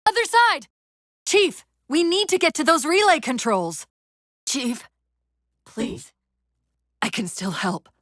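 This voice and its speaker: A female AI companion in a military sci-fi game. She is quick-witted, earnest and strained, briefing a soldier crisply. Her voice swings widely in pitch, and the sound is very dry. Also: very animated, fairly bright tone, no room sound, full character voice. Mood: urgent, alarmed, then strained, vulnerable. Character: female military AI companion, urgent, clever, wry, increasingly strained. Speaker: video game voice actor. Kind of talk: video game voice acting.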